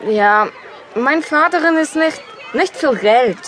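An actress playing a shy, touchy Dutch schoolgirl who speaks halting, broken German with a Dutch accent in an audio drama. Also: high-pitched, lively intonation, fairly bright tone, a faint crowd, heavily acted. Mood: discouraged.

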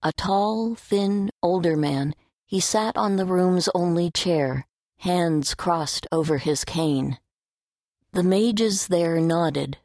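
A woman's voice: lowish voice, takes it slowly, a little mellow, no room sound, studio quality. A woman reading scripted story narration for an audiobook.

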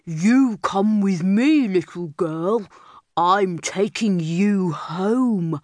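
A woman voicing a massive, greedy bully of a bear in a storybook, gruff and domineering. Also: mid-range voice, drawn-out words, darkish tone, dry recording, clean studio sound. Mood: bossy, menacing.

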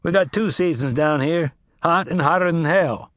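A man's voice: on the high side, moderate pace, fairly bright tone, no echo, studio quality, pitched above the performer's own voice, natural voice. A man doing a laconic young cowboy. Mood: easy.

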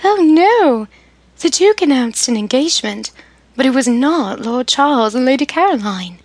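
Woman voicing an excitable older sister. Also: sits high, moderate pace, husky, no echo, voice pushed up. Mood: excited.

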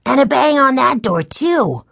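Woman voicing an excitable high-pitched little boy. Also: a little slow, creaky, dry recording, studio quality, heavily acted. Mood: agitated, emphatic. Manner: exclaiming, emphatic, eager. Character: excitable, worried little boy, blunt and eager. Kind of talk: children's audiobook character voice.

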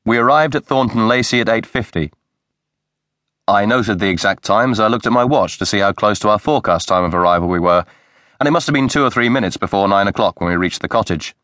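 A man narrating a mystery audiobook in a measured, even way, reading from a script into a close studio mic. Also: fairly low pitch, no echo.

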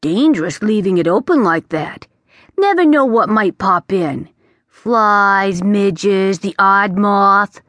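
A woman voicing a streetwise male talking bat with a brisk, slangy way of talking. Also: mid-range voice, animated, fairly bright tone, no echo. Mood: teasing.

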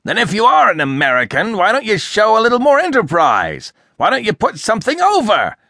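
A man's voice: medium pitch, animated, fast talker, a bit bright, dry recording, studio quality. A man reading a storybook, voicing an irascible, sarcastic, blustering older rich American man who hectors explosively. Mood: furious outburst. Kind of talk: audiobook character acting.

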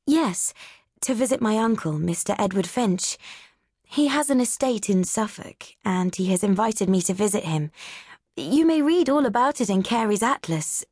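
A woman's voice: mid-range voice, animated, moderate pace, darkish tone, husky, no room sound, clean studio sound. A woman voicing an earnest, slightly flustered young woman setting out on a journey. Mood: eager.

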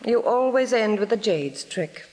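An actress playing a sharp-tongued, scornful young lady in articulate Shakespearean stage style. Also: mid-range voice, lively intonation, a little slow, in a large hall, natural voice. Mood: scornful, teasing. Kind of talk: theatrical Shakespearean stage acting.

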